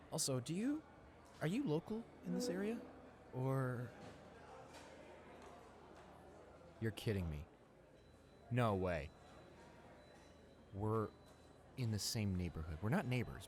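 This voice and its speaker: Over audible background ambience, a young man acts out a friendly high school senior in a roleplay audio drama. His voice sits at an average male pitch. He chats eagerly and casually, rambling on with little stammers and repeated words. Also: darkish tone. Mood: friendly, upbeat. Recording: clear background noise, ambience.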